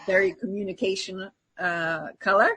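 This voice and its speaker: A woman's voice: medium pitch, steady tone, moderate pace, a bit bright. A woman co-hosting a podcast, explaining things conversationally and encouragingly, often checking for agreement. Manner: encouraging, often seeks agreement with tag questions. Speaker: podcast co-host, spiritual energy coach.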